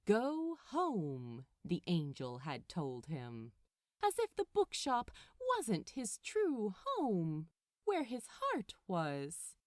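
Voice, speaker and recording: A woman narrating fiction aloud in a dramatic, expressive way with deliberate phrasing. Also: fairly low pitch, no room sound, clean studio sound. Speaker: podfic narrator. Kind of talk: expressive scripted story narration.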